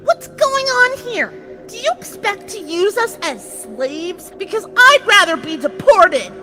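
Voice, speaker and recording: A woman's voice: extremely high pitch, sing-song, a little slow, music in the background, full character voice. A woman voicing a feisty, defiant young pegasus filly in a pony horror audio drama, dramatic in manner.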